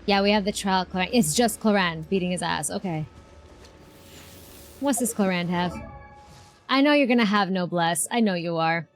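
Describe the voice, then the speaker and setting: A woman's voice: lowish voice, moderate pace, long pauses. A woman streamer giving casual, playful live reactions to a game, often repeating short phrases. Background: game music.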